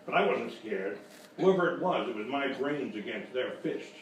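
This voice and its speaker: An actor playing a boastful, bumbling private eye, narrating in blustering deadpan in a parody of old radio detective drama. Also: male voice, mid-range voice, normal speed, natural voice. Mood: tough, self-important. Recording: very echoey, distant, noisy live stage recording.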